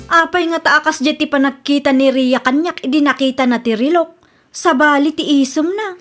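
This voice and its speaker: A woman's voice: sits high, moderate pace, a bit bright, faint music behind. A woman playing a proud, fretful married woman in a comedy radio drama, with an Ilocano accent. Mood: suspicious, fretful.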